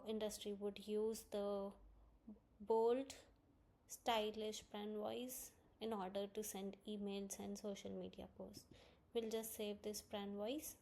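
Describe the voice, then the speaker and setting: Mellow-voiced woman giving a software walkthrough. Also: mid-range voice. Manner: step-by-step explaining. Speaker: software tutorial presenter. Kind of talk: screen-recorded software walkthrough, instructional narration.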